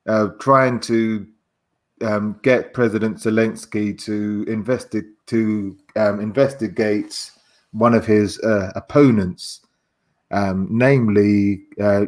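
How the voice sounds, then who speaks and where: A man as a guest in a casual chat, explaining haltingly and stammering as he searches for words. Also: fairly low pitch.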